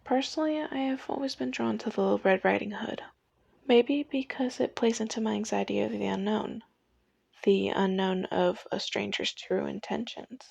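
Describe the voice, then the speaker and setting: Young female video essayist, mid-pitched with noticeable vocal fry, reading a personal script in a dry space. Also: darkish tone, no echo.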